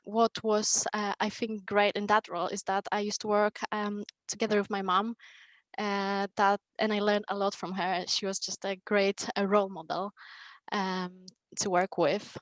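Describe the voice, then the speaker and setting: A young woman with a Polish accent speaks in a mid-range voice, pausing often and restarting her sentences. She tells her work story for a conference panel, and the sound is dry, close and without room echo. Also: mid-range voice, flat delivery. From Poland. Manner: hesitant, many ums and restarts. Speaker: onboarding manager.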